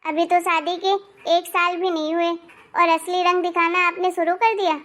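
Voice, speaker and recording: A woman voicing an irritable married daughter in an animated family story, sharp, bossy and sarcastic. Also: very high-pitched, moderate pace. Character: irritable, bossy married daughter visiting her parents' home.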